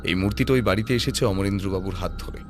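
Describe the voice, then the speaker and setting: An actor playing a shrewd, composed male detective who reasons in a deliberate, analytical way in an audio drama. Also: low voice, faint music behind, lightly acted. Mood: thoughtful, puzzled.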